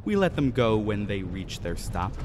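A man's voice: on the low side, very animated, background noise. An actor playing a vengeful Mayan man in an audio drama, mocking and menacing as he toys with an intruder. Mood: coldly ominous. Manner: taunting, deliberate, menacing.

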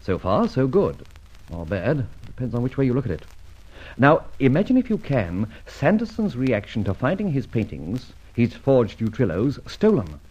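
A man playing a suave, wry and commanding gentleman adventurer in a vintage radio adventure serial. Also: medium pitch, huge pitch swings, moderate pace, breathy edge. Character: suave, self-assured gentleman adventurer and amateur sleuth. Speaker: narrator and lead actor. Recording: dull old radio recording, music behind.

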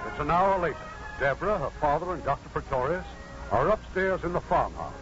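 A man's voice: on the high side, animated, brisk, over loud music. A man hosting a 1950s radio drama, reading scripted narration on a muffled old recording. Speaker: producer and host.

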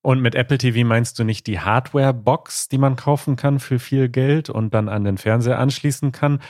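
A man, co-hosting a casual podcast chat in a relaxed, friendly way and laughing easily. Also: medium pitch, moderate pace, no room sound, clean studio sound. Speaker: podcast co-host. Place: new office.

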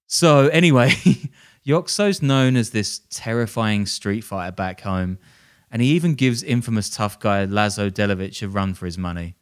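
A male podcast host with a smooth, clear, fairly low voice. He spins a crime story in loose, casual chat, cracking jokes at his own expense and laughing along the way. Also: expressive, moderate pace. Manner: jokey, self-deprecating, laughs while telling.

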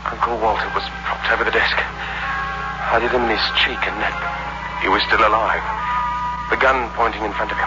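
An actor playing a desperate young ex-convict in a 1960s radio suspense drama, with a menacing, tense delivery. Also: male voice, lowish voice, natural voice. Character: desperate young male ex-convict robber, narrating his crime. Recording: muffled, very noisy old radio recording.

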